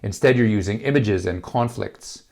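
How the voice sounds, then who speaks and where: Slightly gravelly, rambling film teacher man. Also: on the low side, normal speed, darkish tone. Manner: rambling, many fillers and restarts. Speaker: filmmaking channel host. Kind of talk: casual talk to camera. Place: large indoor office space.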